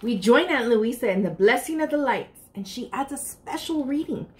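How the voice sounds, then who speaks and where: A woman's voice: a little high, animated, normal speed. A woman in her thirties reading a picture book aloud expressively and speaking directly to children. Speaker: library storytime reader. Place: furnished room indoors.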